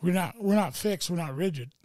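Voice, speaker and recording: Brief: male stand-up comic, mellow tone, higher voice, rambling casual podcast talk. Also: fairly quick, no echo, clean studio sound. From Wyoming. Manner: loose, rambling, swears a lot. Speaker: stand-up comedian, podcast guest. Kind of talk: casual chat.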